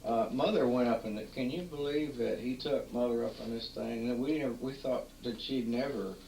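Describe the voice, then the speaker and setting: A man, casually narrating old family home movies at home with many halting pauses and restarts. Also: mid-range voice, creaky, mellow. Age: 40s. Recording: roomy, distant, faint hiss, 1980s home tape.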